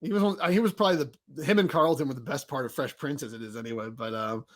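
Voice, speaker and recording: A man's voice: medium pitch, quick pace. A man co-hosting a podcast, talking in an informal, rambling, enthusiastic way as a fan. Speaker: podcast host. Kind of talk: casual fan discussion.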